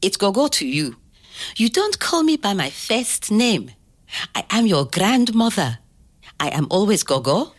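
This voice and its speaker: A grandmother in an audio drama, fond and proud as she tells her story. Her delivery is unhurried and measured, with lively, swooping intonation that draws a young listener in. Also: mid-range voice. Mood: playful.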